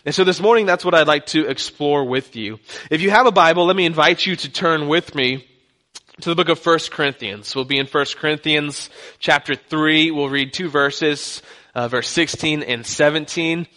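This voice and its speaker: A man's voice: a little high, normal speed, bright, forceful tone, rough recording. A young man from Texas with a light Texan accent, preaching a sermon as a guest pastor in a joking, conversational way. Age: young adult.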